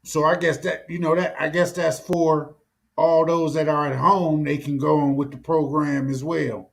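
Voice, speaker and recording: A man's voice: on the high side, flat delivery, moderate pace, gravelly. A middle-aged American man hosting a casual chat, talking slangily and often repeating his words. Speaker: talk show host. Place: small room indoors.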